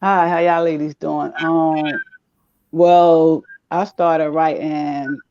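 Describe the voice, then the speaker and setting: Soft-spoken woman on a dull lo-fi line. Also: low-pitched, level tone, takes it slowly, a little hiss or hum. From New Jersey. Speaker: guest author. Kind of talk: casual interview.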